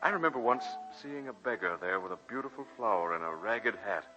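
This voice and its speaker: An actor playing an earnest, romantic college professor with a literary, measured manner in a 1940s radio drama. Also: male voice, fairly low pitch, expressive, lightly acted. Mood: wistful, ardent. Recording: muffled vintage 1940s radio broadcast, music behind.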